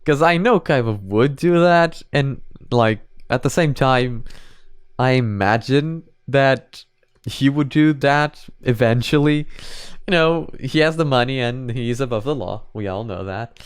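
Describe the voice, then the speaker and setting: A man's voice: mid-range voice, measured pace, clean tone, dry recording, studio quality. A man giving casual, off-the-cuff reaction commentary, thinking aloud in a hesitant, rambling way. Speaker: host of anime reaction videos.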